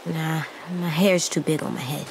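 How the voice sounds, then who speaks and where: A woman voicing a blunt, guarded little boy survivor in a video game, speaking in terse, halting lines. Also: sits low, steady tone, creaky, slight background noise, low-quality recording. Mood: subdued, stern. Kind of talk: naturalistic video game dialogue acting. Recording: faint outdoor stream ambience.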